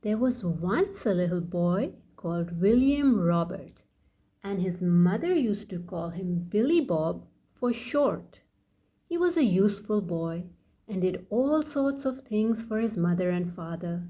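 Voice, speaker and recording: A woman reading a children's story aloud in a deliberate, sing-song way, speaking directly to young listeners. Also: mid-range voice, lively intonation, warm tone.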